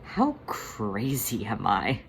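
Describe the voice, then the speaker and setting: A performer voicing an earnest, worn-down male cat leader who speaks haltingly and vulnerably, in a storybook fan reading. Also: mid-range voice, big pitch swings, creaky, slight background noise, voice pushed down, exaggerated voice. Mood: tearful, fragile.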